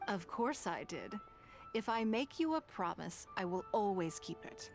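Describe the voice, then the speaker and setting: A woman's voice: mid-range voice, very animated, faint music behind. Poised, articulate lady of authority. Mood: gentle, reassuring. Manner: measured, articulate, reassuring. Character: poised, composed adult lady of authority, kindly but stern. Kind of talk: video game voice acting.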